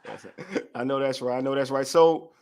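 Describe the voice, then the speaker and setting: Hoarse man hosting a sports podcast. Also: mid-range voice, fairly even intonation, normal speed, heavy background noise. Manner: loose, repeats words, slangy and friendly. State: hoarse from a cold. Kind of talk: casual sports talk show.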